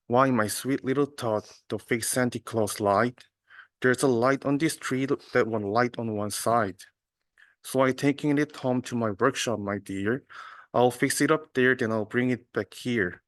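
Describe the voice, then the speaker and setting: A man's voice: medium pitch, unhurried, dry recording, lightly acted. A man voicing the Grinch, a sly storybook creature who talks in a falsely kindly, coaxing way. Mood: falsely sweet. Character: sly, sweet-talking male Grinch, lying smoothly.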